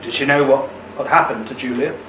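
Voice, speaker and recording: A man's voice: mid-range voice, level tone, brisk, natural voice. A man playing an older ex-army husband in a radio play, regretful and haunted by a lost love, speaking with hesitant emotion. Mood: anxious, questioning. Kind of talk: amateur radio play acting. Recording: roomy, distant mic, faint noise.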